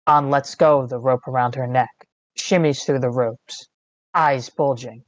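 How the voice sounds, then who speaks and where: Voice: mid-range voice, fairly even intonation, a little slow, no room sound. A narrator reading screenplay stage directions in terse, dramatic phrases. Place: online meeting, close dry mic.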